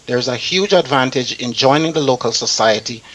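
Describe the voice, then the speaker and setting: Casting: male radio host, mid-range voice, deliberate and slightly halting reader. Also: medium pitch, a bit bright, poor audio. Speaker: talk show host. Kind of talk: reading an article aloud, panel chat.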